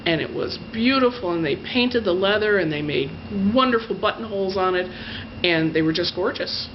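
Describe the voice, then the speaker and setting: A woman's voice: medium pitch, animated. A woman storyteller telling a fairy tale in her own words, gushing and stretching out the descriptive words. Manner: gushing emphasis, drawn-out adjectives. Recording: dull lo-fi sound with faint noise.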